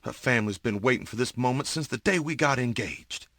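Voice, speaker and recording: A husky middle-aged man speaks in a dry, close recording. He is a judge in an audio drama, weary and full of regret, and talks in a subdued way. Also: mid-range voice, brisk, fairly bright tone, dry recording. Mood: weary, resigned. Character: remorseful, weary judge, older husband and father.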